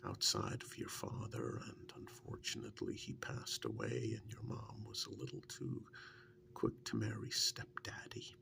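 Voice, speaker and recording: A man playing a fondly reminiscing, teasing male partner and former captive in an ASMR role-play, in a breathy half-whisper with gravelly fry and a halting, conversational delivery. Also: a bass voice, sing-song, heavily acted. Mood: wry, reminiscing. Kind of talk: soft-spoken ASMR role-play monologue.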